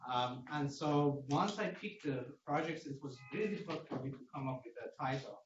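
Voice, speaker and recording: A male researcher with an Iranian accent delivers an academic lecture in a halting, hesitant way. His voice is of middling pitch. It is picked up at a distance in an echoey seminar room, with faint noise. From Iran. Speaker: researcher giving a seminar talk. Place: indoor seminar room at a lectern. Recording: echoey room, distant mic, dull noisy feed.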